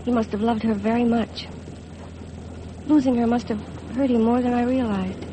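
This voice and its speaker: An actress playing an insecure, wistful young American bride in a 1950s radio drama. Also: female voice, fairly high pitch, steady tone, normal speed, lightly acted. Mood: hurt, wistful. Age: young adult. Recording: faintly noisy vintage radio recording.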